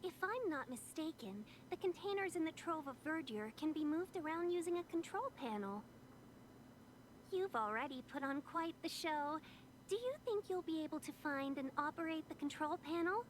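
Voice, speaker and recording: An actress playing a polite, coy young envoy in a video game, speaking gently and coaxingly. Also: female voice, high voice, expressive, normal speed, slight background noise. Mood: sweet, coaxing. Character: polite, coy young woman, well-travelled trade envoy. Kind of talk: game voice acting.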